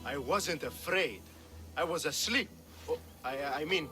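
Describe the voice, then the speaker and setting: A man's voice: a little high, a little slow, music in the background, lightly acted. A man playing a cowardly, bumbling guard in a video game, hesitant and blustering as he corrects himself. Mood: sheepish, defensive.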